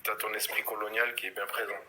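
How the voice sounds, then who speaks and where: A man's voice: fairly low pitch, flat delivery, fast, pushed and bright, slightly rough. A man in his thirties hosting a live chat, questioning his guest and stammering as he pushes back. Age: 30s. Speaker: live stream host. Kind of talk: casual chat.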